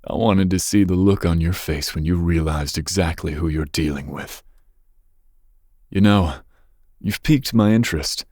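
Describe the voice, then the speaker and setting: A man playing a dangerous, possessive young mafia boss's son in an intimate ASMR roleplay, speaking breathily with smooth, teasing menace. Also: normal speed, a little mellow, dry recording, clean studio sound. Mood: taunting, intrigued. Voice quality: breathy, husky low voice. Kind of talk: ASMR roleplay, intimate second-person monologue.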